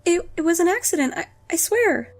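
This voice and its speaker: A flustered child acted by a woman in an audio drama, with a very high voice. The speech is hesitant and stammering, full of nervous apology. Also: expressive, fairly quick. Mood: flustered, apologetic.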